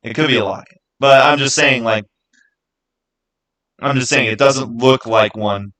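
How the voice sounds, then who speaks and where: A man hosting a livestream, chatting casually with viewers in a halting way, often repeating words and phrases as he goes. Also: medium pitch, sharp tone. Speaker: livestream host.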